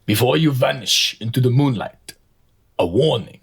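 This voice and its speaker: A man playing a wise old mystic master in an audio drama, speaking in cryptic, poetic phrases with a creaky voice. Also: mid-range voice, animated, full character voice. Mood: ominous. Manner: slow, cryptic, poetic speech. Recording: clearly noisy recording.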